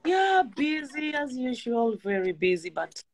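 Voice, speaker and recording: A woman's voice: sits high, very animated, measured pace. A young Kenyan woman with a Kenyan accent, a guest in a casual interview, loose and exclamatory in how she talks. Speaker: pageant founder, guest.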